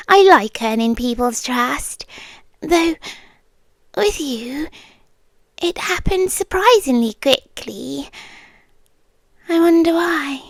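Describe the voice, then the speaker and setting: A British woman playing a soothing, possessive hypnotist girlfriend in an ASMR roleplay, speaking softly and breathily, often in a whisper, close to the microphone. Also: high-pitched, no room sound, heavily acted. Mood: coaxing, possessive. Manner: slow, hypnotic, coaxing.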